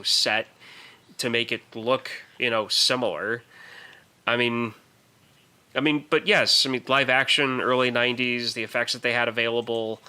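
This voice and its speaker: Male podcast co-host with a very bright, forward voice, mid pitch, emphatic in casual film debate.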